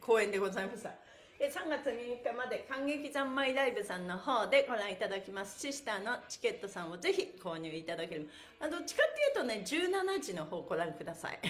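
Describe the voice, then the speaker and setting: A middle-aged woman hosting a livestreamed stretch class in a cheerful, exclamatory, chatty way. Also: sits high. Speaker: stretch class host, pantomime performer. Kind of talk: livestreamed exercise lesson with chatty announcements. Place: small bare-walled room indoors. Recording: roomy indoor echo.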